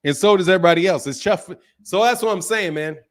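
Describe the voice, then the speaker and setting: A man hosting a casual live-stream boxing talk show, reading out chat comments and reacting in an animated, repetitive way with plenty of swearing and slang. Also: high-pitched, fast talker. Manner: animated, swears freely, repeats himself.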